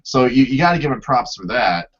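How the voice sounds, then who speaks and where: A man with a husky voice talking casually about films as a podcast panelist. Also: mid-range voice, big pitch swings, fairly quick. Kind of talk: casual film discussion. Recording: dull lo-fi audio.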